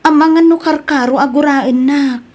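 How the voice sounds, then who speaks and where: A Filipino woman playing a meek, hurt trainee in an audio drama, with a breathy voice and plaintive manner. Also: high-pitched, fairly even intonation, moderate pace, darkish tone, natural voice. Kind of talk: acted audio comedy-drama dialogue.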